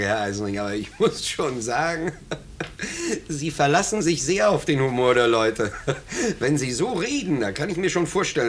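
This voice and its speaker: An actor in a 1950s German radio drama playing a pompous, nervous householder who is eager to please, blustering and stammering when uneasy. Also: male voice, medium pitch, lightly acted.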